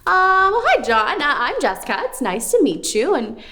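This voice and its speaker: A woman presents a children's puppet show in a high voice. Her pitch swoops widely, with exaggerated, expressive wonder aimed at kids. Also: moderate pace. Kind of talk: scripted children's show dialogue.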